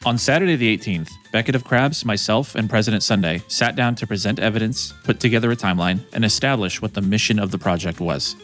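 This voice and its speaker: A man's voice: on the low side, quick pace, hardly any pauses. A man who works as a video editor narrates his own account in the first person, reflective and conflicted. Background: soft guitar music.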